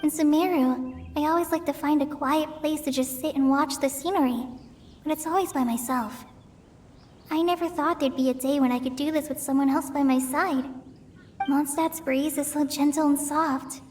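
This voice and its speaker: A woman's voice: high voice, steady tone, moderate pace, a little mellow, faint music behind, lightly acted. An actress voicing a timid, self-doubting teenage girl in a video game, sincere and unsure of herself. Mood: reflective.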